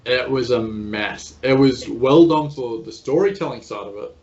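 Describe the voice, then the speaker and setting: An Australian man hosts the interview, chatty and quick with his opinions. His voice sits at a middle pitch. The audio has a dull, lo-fi quality. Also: a crowd in the background. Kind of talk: casual interview chat.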